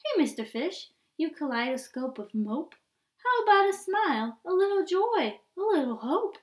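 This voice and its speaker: A woman's voice: high voice, animated, moderate pace, darkish tone, echoey room. Woman doing a sassy squirmy squid. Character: squirmy slightly rude female squid.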